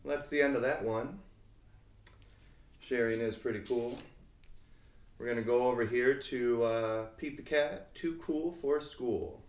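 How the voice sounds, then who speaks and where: A man reading a children's picture book aloud expressively, with deliberate phrasing and dramatic emphasis. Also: medium pitch. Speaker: read-aloud host. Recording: roomy, echoing sound.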